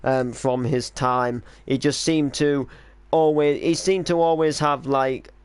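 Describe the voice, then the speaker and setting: Informal rambling man, smooth clear voice. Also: mid-range voice, fairly even intonation, slow pace, no echo. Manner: informal, rambling, explanatory storytelling. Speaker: streamer, creator and voice actor of an online drama series. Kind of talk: casual reminiscing commentary on a stream.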